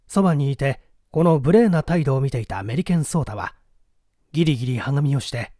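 A man's voice: mid-range voice, expressive, brisk, warm tone, no echo, studio quality. A man reading a story aloud as a dramatic scripted narration. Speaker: audiobook reader.

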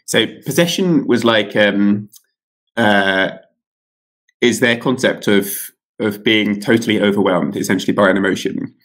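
A man's voice: on the low side, unhurried, a bit bright. A man in his thirties, an author being interviewed over a video call from home, talking casually with many fillers and restarts. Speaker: author guest. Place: home room with curtains.

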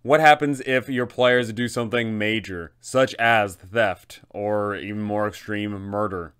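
A man's voice: on the low side, unhurried, a bit bright, clear, resonant tone. A man in his thirties hosting a guide for game masters, explaining things in an emphatic, methodical way. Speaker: host, game master giving advice. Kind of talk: explanatory guide to a tabletop adventure.

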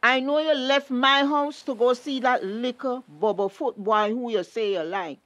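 A middle-aged mother in a radio drama, reproachful and protective, with a Tobagonian accent. She lectures slowly in a fairly high voice, recorded dry and close. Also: a bit bright, no echo. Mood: scolding. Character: scolding, protective mother of a grown daughter.

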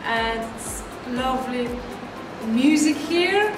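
A woman student giving a gentle, unhurried testimonial about her art workshop. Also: high-pitched, expressive. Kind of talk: on-camera testimonial. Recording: echoey room, distant mic, music behind.

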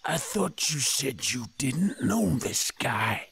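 An actor playing a gravelly, impatient animal crime boss in a video game cutscene, sarcastic and scheming. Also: male voice, mid-range voice, very animated, slow pace, a bit bright, no room sound, full character voice. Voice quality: gravelly, raspy.

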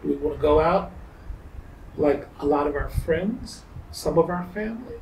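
A middle-aged man being interviewed, speaking in emphatic, drawn-out phrases. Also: fairly high pitch, lively intonation, a little mellow, echoey room, distant mic, dull audio, a little hiss or hum. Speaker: interview guest. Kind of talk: reflective interview talk. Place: indoors against a pale wall.

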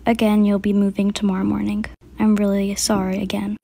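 Woman as hospital doctor: soft, solemn, halting, gentle. Homemade skit. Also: mid-range voice, completely flat delivery, creaky, slight background noise, voice pushed down, close to an everyday voice.

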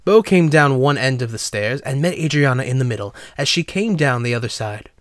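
Mid-pitched man narrating a story. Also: expressive, brisk, no room sound, studio quality. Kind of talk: scripted storybook narration of an audio drama.

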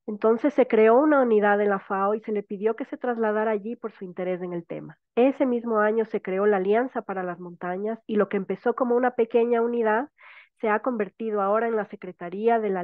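A woman's voice: mid-range voice, a little fast, no room sound. A woman moderating an online event, polite, reading out speaker introductions. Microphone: video call. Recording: dry tinny phone-like audio.

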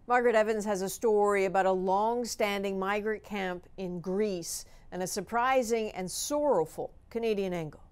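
Smooth-voiced woman news anchor. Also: mid-range voice, moderate pace, few pauses. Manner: warm, smiling, conversational on air. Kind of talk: live news anchoring and chat. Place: TV news studio.

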